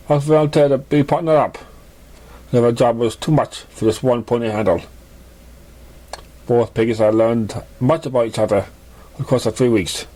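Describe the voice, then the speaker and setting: Man haltingly narrating a story. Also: on the low side, dark tone. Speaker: story reader. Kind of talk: scripted narration of a fan fiction story.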